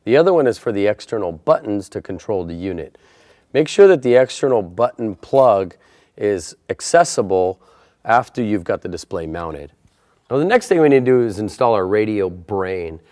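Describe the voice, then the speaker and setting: A man's voice: fairly low pitch, darkish tone, no echo, clean studio sound. A man presenting a how-to installation tutorial, explaining each step in a plain, methodical way. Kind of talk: step-by-step instructional narration.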